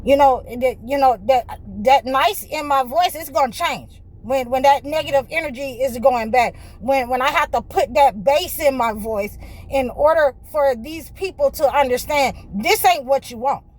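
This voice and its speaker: A woman with a high voice preaches to her viewers in a fervent, circling way. She keeps restarting her sentences and leaning on "you know", and at times pushes up close to a shout. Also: normal speed, low-quality recording. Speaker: spiritual healer and channel host.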